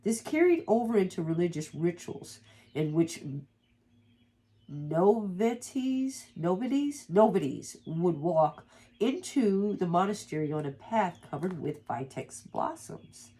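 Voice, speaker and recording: A woman with a stuffed-up nose from allergies, reading slowly and softly from a guidebook as an oracle card reader, with small stumbles and asides. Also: fairly low pitch, husky. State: congested from allergies.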